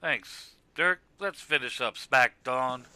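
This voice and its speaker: A man's voice: mid-range voice, slow, sharp tone, slight background noise. A man playing a brash wrestling commentator in an amateur web cartoon. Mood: casual. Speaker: sports commentator.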